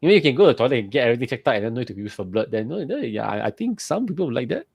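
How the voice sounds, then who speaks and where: Man, podcast co-host, jokey and animated, dry close mic. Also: medium pitch, racing, vocal fry, husky, no room sound. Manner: animated, repeats words, jokey. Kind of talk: casual chat.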